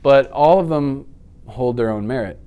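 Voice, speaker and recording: A man in his thirties with a clear, smooth voice and a middling pitch. He talks casually, like a guest on a chat about films and games, and likes to act out reactions as he tells a story. It sounds like a seated indoor recording. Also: normal speed. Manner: animated storytelling, acts out reactions and sound effects. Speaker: interview guest. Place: indoor office studio.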